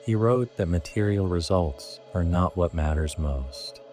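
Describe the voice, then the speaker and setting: A man narrating a scripted guided sleep meditation in a hushed, deliberate way, in short phrases. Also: deep voice, faint music behind.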